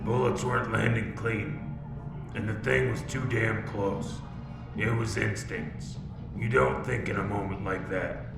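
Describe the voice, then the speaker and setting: A man's voice: lowish voice, steady tone, unhurried, vocal fry. A man playing a battle-hardened, grim and unyielding first sergeant in a military sci-fi audio drama, terse and commanding. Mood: somber reflection. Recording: music bed behind the voice.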